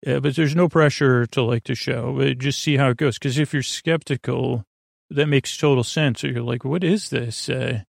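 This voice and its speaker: A man hosting a sleep podcast with a creaky voice, rambling in a soothing, meandering, silly way and fumbling his words as he improvises. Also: mid-range voice, no echo, studio quality. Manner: soothing, lulling. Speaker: podcast host.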